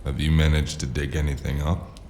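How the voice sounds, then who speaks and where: An actor playing a weary, sardonic noir investigator with a gravelly voice and a dry, deadpan, clipped delivery. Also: male voice, extremely low pitch, a little fast, slight background noise. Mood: dry, guarded. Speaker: lead actor and narrator. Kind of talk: noir audio drama acting and narration.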